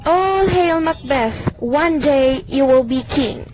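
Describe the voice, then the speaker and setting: A woman's voice: high voice, slow, a little mellow, slight background noise, low-quality recording. A woman playing an eerie witch who incants prophecies in an amateur radio drama. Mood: portentous. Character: urgent, eerie female witch hailing with prophecies.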